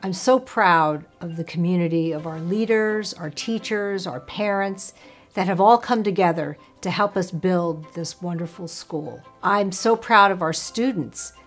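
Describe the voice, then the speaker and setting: A woman's voice: lowish voice, a little slow, faint music behind. A woman speaking as a school leader in a promotional interview, polished and articulate, sounding proud.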